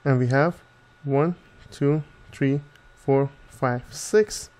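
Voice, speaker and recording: A man with a soft, mellow voice at a middle pitch narrates a tutorial. He explains methodically, one step after another, in the manner of a chemistry tutor. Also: extremely slow.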